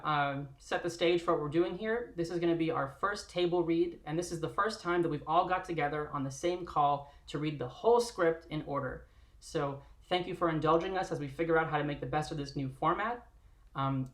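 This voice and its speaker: A man's voice: fairly high pitch, fairly bright tone. A man casually hosting a live table read in an informal, easygoing way. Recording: echoey room on livestream call.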